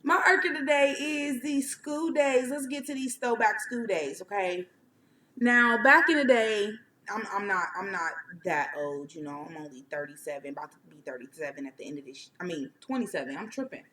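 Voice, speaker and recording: A woman in her twenties co-hosting a casual chat podcast, animated and talking straight to her listeners. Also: mid-range voice, moderate pace, roomy sound, a little hiss or hum, poor audio. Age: 20s. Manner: animated, talks to listeners as y'all. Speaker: podcast co-host.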